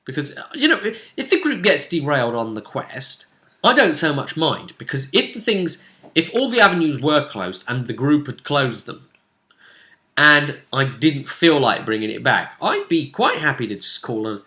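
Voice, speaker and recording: A man with a bright, forward voice retells a tabletop adventure as game master. His delivery is casual but animated, with pitch that moves around a lot as he adds little asides. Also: normal speed. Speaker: game master recapping a tabletop role-play session. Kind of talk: casual recap chat.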